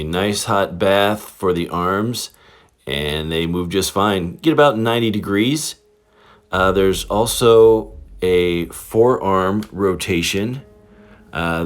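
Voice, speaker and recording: A man hosting a casual toy review in a playful, informal, slangy way, commenting as he handles figures. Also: lowish voice.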